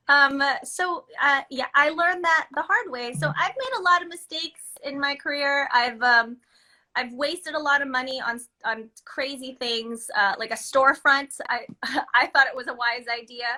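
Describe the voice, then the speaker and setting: A woman in her thirties from the Philippines speaks with a high, bright voice. She chats loosely as an interview guest, laughing often. She talks about her own business and trips over her own sentences. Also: a little slow. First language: Filipino. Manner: chatty, laughs often, many restarts. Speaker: guest, beauty business owner. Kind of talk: casual interview chat.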